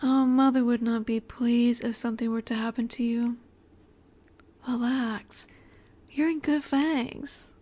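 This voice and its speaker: Actress as vampire boss's daughter, young woman, slightly high pitch, soft teasing delivery, unhurried, poised, intimate roleplay audio. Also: fairly even intonation. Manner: gentle, teasing, unhurried, breathy asides.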